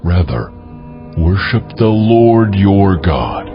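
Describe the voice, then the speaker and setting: A man playing God as a solemn, commanding deity, speaking with deliberate authority in a dramatized scripture reading. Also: sits low, sing-song, heavily acted. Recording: dull lo-fi audio, music underneath.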